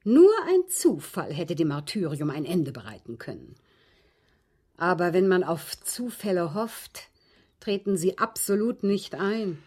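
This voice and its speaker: An actress playing a genteel, aggrieved widow in a German radio drama, speaking in precise, formal, dramatic phrases with a husky voice. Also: female voice, fairly low pitch, very animated, unhurried, darkish tone, heavily acted. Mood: bitter, composed. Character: genteel, aggrieved widow recounting her captivity.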